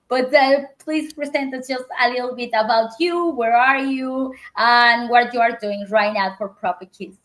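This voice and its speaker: Host, female, fairly high voice, casual chat with restarts. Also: a bit bright, low-quality recording. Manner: halting, many restarts and fillers, laughs often. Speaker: livestream host.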